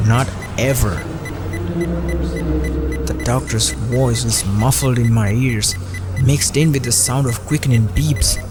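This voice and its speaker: Brooding man narrating horror over music. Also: medium pitch. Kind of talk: scripted first-person horror narration.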